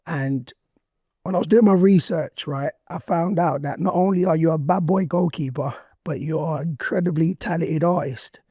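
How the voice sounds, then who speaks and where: A man hosting a casual interview podcast, his voice hoarse and nearly gone, talking in excitable slang. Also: fairly high pitch, normal speed, no room sound. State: losing his voice. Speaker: podcast host.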